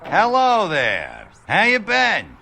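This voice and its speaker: A man's voice: sits high, huge pitch swings, takes it slowly, gravelly, bright, forceful tone, heavily acted. A man playing a chatty, slightly seedy late-night bail bondsman with an American accent in a video game. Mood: chatty, amused. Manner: colloquial, jokey, rambling.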